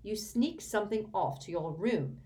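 A woman's voice: mid-range voice, lively intonation, normal speed, mellow, voice pushed up, natural voice. A woman reading aloud and voicing a cold, irritable grown man who speaks curtly and with disdain. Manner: curt, snapping, disdainful.

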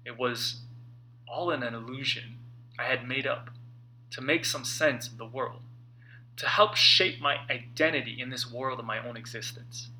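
A man narrating his own self-help book in an expressive, earnest and emphatic way, with dramatic phrasing and pauses. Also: mid-range voice, slight background noise, low-quality recording. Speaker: author reading own book.

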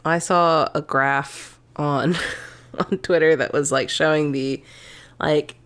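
A woman with a fairly low voice co-hosts a casual political podcast. She talks sardonically and laughs often between points. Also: steady tone, slow pace, fairly bright tone. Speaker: podcast co-host.

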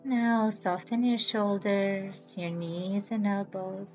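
Woman, meditation guide, soothing, very slow delivery, measured pauses, slightly muffled recording. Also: medium pitch, flat delivery, darkish tone. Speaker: yoga nidra guide and podcast host. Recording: slightly dull audio with background music. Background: music.

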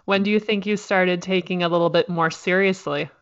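A woman from Minnesota leads an interview with friendly, curious questions. Her voice is on the lower side for a woman. The recording is dry and a little muffled. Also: fairly even intonation, brisk, no room sound. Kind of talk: conversational interview.

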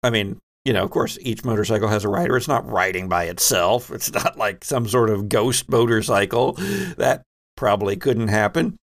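A man's voice: fairly low pitch, moderate pace. A man narrating a tabletop roleplaying game as game master, speaking conversationally and descriptively with wry asides.

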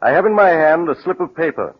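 An actor playing a shrewd, relentless police doctor in a 1940s radio drama, questioning with deliberate, probing pauses. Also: male voice, a little high, lively intonation. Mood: triumphant accusation. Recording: dull old radio broadcast.

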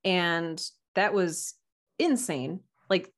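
A woman guest on a podcast, telling personal anecdotes in a casual, animated and halting way over a video call. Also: on the low side.

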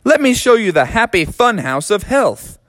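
A man voicing a bossy male chicken drumstick leader in a children's storybook, raising his voice and lecturing in a booming tone. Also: sing-song, normal speed, a bit bright, dry recording. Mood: stern.

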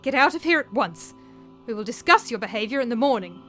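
A woman's voice: on the high side, lively intonation, fairly quick, a bit bright, soft background music. Woman acting a cold, imperious, angry mistress. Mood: angry, commanding. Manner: cold, demanding, commanding.

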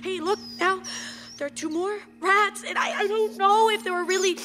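A grieving mother in a radio drama, tender and bitter in her despair. Her voice is high and bright, with a wounded, intense delivery. It sits in long reverb with some noise around it. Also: normal speed. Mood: agitated, bitter. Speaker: actress in a radio play. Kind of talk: emotional radio drama acting.

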